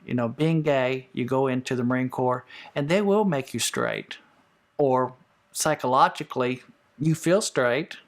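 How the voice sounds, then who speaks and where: A former Marine in a personal interview, talking frankly and in a rambling way, with a gravelly voice. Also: male voice, mid-range voice, a little slow.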